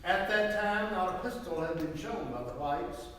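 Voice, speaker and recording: A man's voice: high-pitched, expressive, normal speed, fairly bright tone, slight background noise. A man narrating a historical account as an emphatic, declamatory dramatic reading. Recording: very echoey hall, far from mic.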